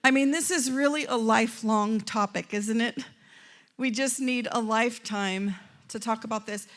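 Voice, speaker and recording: A woman's voice: a little high, normal speed. A woman moderating a panel at a church women's event, chatty and casual, laughing often and trailing off with fillers. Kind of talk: casual hosting, friendly and talkative.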